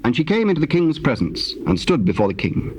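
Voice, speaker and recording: A man's voice: a little high, big pitch swings, brisk, darkish tone, a little hiss or hum. A man narrating scripture in a solemn, scripted style on an old cassette recording.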